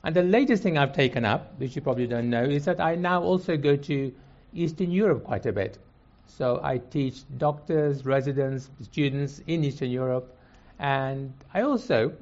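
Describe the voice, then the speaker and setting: A man's voice: mid-range voice, darkish tone, dull audio. An older man, born in Kenya and raised speaking Swahili and Gujarati, telling his life story as a guest on stage. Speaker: guest, cancer surgeon. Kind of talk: conversational storytelling. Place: before a live audience in a hall.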